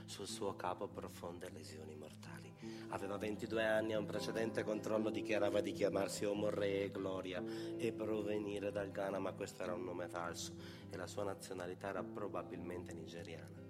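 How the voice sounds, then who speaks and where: An actor playing a grave, ironic stage narrator, reciting in theatrical news-bulletin style. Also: male voice, sits low, flat delivery, moderate pace, lightly acted. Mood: grave, urgent. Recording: long hall reverb, music behind. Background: plucked guitar music.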